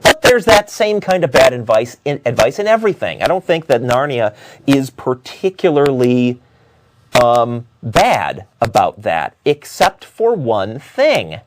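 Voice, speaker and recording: Guy talk host arguing a point off the cuff, lively pitch swings, keeps correcting himself, noisy recording. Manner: halting, self-correcting, argumentative. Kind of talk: unscripted opinion commentary to viewers.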